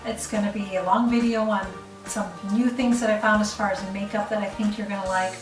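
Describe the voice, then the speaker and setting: A woman's voice: mid-range voice, level tone, a little fast, distant mic. Chatty middle-aged Midwestern woman. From Chicago, US. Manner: chatty, rambling with fillers. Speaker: vlogger. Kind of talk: casual chat to camera. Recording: roomy room, off the mic, faint music.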